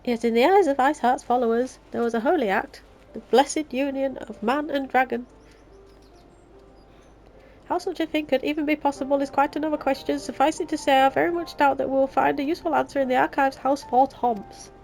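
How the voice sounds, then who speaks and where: A woman's voice: sits high, fairly even intonation, a little fast, darkish tone, soft background music, pitched above the performer's own voice. A Yorkshire woman reading as an earnest young scholar. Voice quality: blocked-nose nasal. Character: young male elven scholar, earnest and formal.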